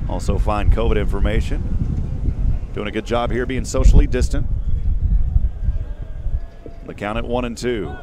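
A man in his thirties calling a baseball game live in short, measured phrases, speaking into a headset mic outdoors. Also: lowish voice, steady tone, very noisy. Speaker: radio play-by-play baseball announcer. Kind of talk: live sports commentary. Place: outdoors at a windy ballpark. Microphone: headset boom mic.

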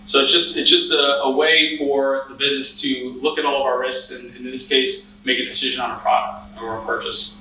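A man's voice: mid-range voice, little pitch movement, fairly quick, few pauses, background noise. A man in his thirties giving a conversational conference talk as a cyber risk analyst in a hotel meeting room, with frequent restarts and fillers. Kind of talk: conference presentation. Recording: echoey room from a distance.

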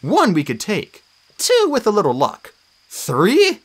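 A woman's voice: mid-range voice, huge pitch swings, slow pace, breathy edge, voice pushed up, full character voice. A woman voicing a wry teenage boy demigod with a dry way of talking.

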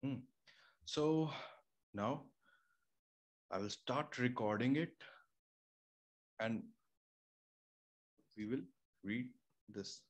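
A man's voice: mid-range voice, extremely slow, pauses often. A young man with an Indian accent, explaining home recording step by step, with many fillers and restarts. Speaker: home studio tutorial host. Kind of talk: casual screen-share tutorial. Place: small home room with air conditioner and fridge nearby. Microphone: studio microphone through an audio interface. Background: faint room hum.

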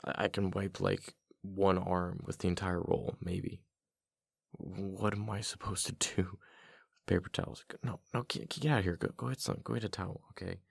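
A man playing a playfully exasperated, affectionate husband in a boyfriend ASMR roleplay, with a deep, husky voice and a halting, casual way of talking. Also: flat delivery, no room sound, full character voice. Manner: halting, casual, muttering with repeated words.

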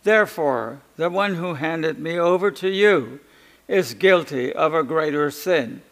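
A man reading the lines of a calm, solemn male prophet on trial in a liturgical Passion reading, slow and measured. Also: on the high side. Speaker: scripture reader.

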